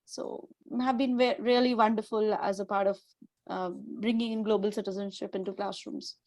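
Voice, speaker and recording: A woman's voice: medium pitch, fairly even intonation, normal speed, darkish tone, no room sound. A woman teacher from India, speaking in a webinar with frequent hesitations and fillers. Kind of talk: webinar discussion.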